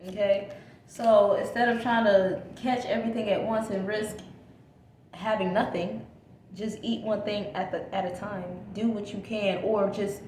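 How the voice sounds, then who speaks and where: A woman's voice: mid-range voice, fairly even intonation, a little slow, a little mellow, a little hiss or hum. Chatty woman giving a tarot reading, echoey room. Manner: chatty, repeats reassurances, frequent "okay?" check-ins. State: slightly scratchy from a coming cold. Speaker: tarot reader. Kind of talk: casual tarot reading to camera.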